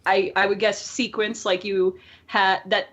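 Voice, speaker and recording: Mid-pitched woman chatting as podcast co-host. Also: flat delivery, unhurried, a bit bright, muffled recording. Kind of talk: casual chat.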